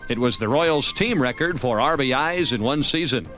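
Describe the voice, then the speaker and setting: A man's voice: medium pitch, lively intonation, normal speed, a bit bright. An American man reading scripted narration for a baseball season documentary. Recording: music bed under narration. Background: background music.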